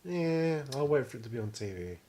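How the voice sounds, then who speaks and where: A man's voice: mid-range voice, big pitch swings, fairly quick, warm tone. A young British man co-hosting a podcast, talking casually in a rambling, sweary way. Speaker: podcast co-host. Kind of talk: casual chat.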